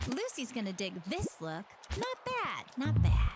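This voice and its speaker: A woman's voice: a little high, huge pitch swings, measured pace. A woman voicing a breezy, confident young heroine in short video game quips. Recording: distant game audio buried in loud noise.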